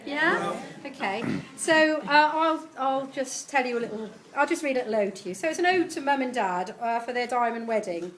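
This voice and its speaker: A middle-aged woman reads a homemade rhyming tribute in a high, very lively voice. She takes her time over each line, addressing guests at a live event. There is clear background noise in the recording. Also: normal speed. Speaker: family member reading a tribute poem at a party. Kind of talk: reading a homemade rhyming poem aloud to guests.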